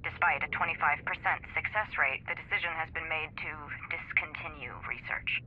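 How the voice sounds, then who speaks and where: A performer playing a detached research scientist reading a formal, measured report through a radio-like filter in a video game. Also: medium pitch. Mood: clinical. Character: detached, clinical research lab announcer. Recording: dull radio-like playback, faint noise.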